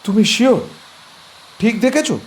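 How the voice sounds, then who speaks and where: A man acting a cautious, worried young man in an audio horror drama, asking measured, uneasy questions. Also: very high voice, big pitch swings, breathy edge, exaggerated voice. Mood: puzzled.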